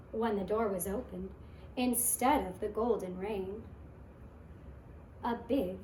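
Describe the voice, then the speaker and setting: A woman narrating a fairy tale for children in a deliberate, expressive storytelling manner. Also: mid-range voice. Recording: echoey room with faint noise.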